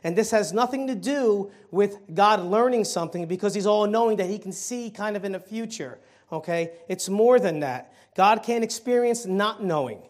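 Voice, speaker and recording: A man preaching an evening sermon in church, conversational with fillers and restarts, his throat a little hoarse. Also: high-pitched, moderate pace. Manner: conversational, many fillers and restarts, rising emphasis on key points. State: slightly hoarse throat, clearing it. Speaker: lay preacher filling the pulpit. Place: church sanctuary.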